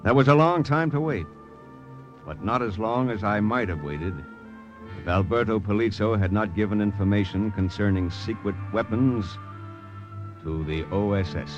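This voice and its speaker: A very low-pitched actor as a resolute American lieutenant tells his war story in classic old-time radio style. He is measured and stoic, with an American accent, over prominent music on a vintage recording. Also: muffled recording, lightly acted. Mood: reflective. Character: steadfast American male OSS lieutenant, resolute and self-sacrificing. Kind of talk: 1940s-50s radio acting, first-person narration and dialogue.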